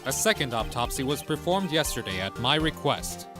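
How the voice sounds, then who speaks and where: A man voicing a cool, condescending prosecutor who speaks in a composed, measured way, in a fan dub. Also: mid-range voice, sing-song, over music, close to an everyday voice. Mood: smug. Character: cool, smug male prosecutor.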